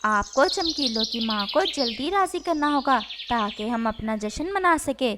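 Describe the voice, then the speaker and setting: A woman's voice: high-pitched, expressive, measured pace, heavy background noise. A woman voicing a teasing bird friend who gives advice in a Hindi cartoon, knowing and admonishing. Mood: advising. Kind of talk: Hindi cartoon character acting.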